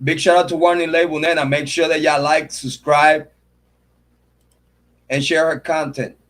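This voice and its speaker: A man with a fairly high voice hosting a true crime stream and tearing into a rival. He curses freely and repeats his lines for punch. Between jabs he stops to thank his viewers by name. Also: little pitch movement, normal speed, a bit bright. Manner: heated, profane and repetitive, calling out a rival. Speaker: true crime channel host. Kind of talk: livestream commentary rant.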